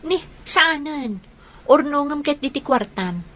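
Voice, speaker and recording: A woman playing a shy young adult daughter in an audio drama, gently protesting. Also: sits high, animated, unhurried, exaggerated voice. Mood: matter-of-fact.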